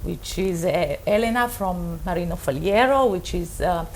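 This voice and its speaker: A woman's voice: on the low side, expressive, fairly quick, darkish tone, a little hiss or hum. A woman from Israel whose first language is Russian, talking casually as an interview guest and searching for her words. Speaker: opera soprano, interview guest.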